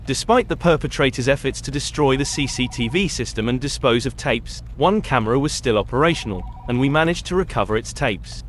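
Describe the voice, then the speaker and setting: A man's voice: mid-range voice, lively intonation, a little fast, few pauses, a little hiss or hum, close to an everyday voice. A man playing a formal, matter-of-fact police officer in an audio drama. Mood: official.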